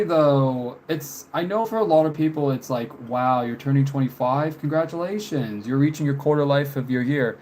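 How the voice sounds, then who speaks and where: Slow-paced young man with background noise. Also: medium pitch, darkish tone, low-quality recording. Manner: halting, reflective, trailing off. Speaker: live streamer painting. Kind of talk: casual live stream chat answering viewers. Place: room with window blinds, indoors.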